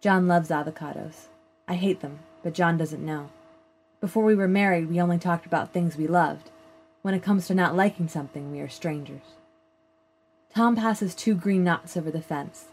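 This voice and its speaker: A woman reading a first-person literary short story aloud in a measured, plain storytelling manner. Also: on the low side, a little mellow, low-quality recording. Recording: clear background noise.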